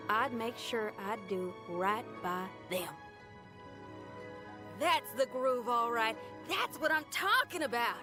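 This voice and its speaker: A woman voicing a spirited, brash but good-hearted young female rock musician in a video game, chatty and folksy in her expressive delivery. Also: sits high, heavily acted. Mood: enthusiastic, then fired up. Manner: folksy, colloquial, chatty and enthusiastic. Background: background music.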